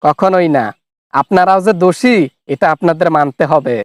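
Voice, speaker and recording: Man voicing a high-pitched angry cartoon official on the run. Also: moderate pace, no room sound, clean studio sound, lightly acted. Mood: accusing. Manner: accusatory, threatening, colloquial. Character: angry, defiant fugitive male official, funny cartoon caricature. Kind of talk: comic cartoon dub, phone argument.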